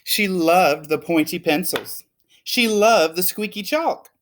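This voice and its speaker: A man who works as a teacher, reading a children's story aloud in an expressive, emphatic way. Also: high voice, takes it slowly, a little hiss or hum. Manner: animated, emphatic.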